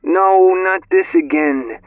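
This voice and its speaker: A man's voice: high-pitched, slow, lo-fi sound, voice pushed up. A man voicing a frightened, desperate young boy hero in an audiobook, pleading and exclaiming in panic. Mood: startled.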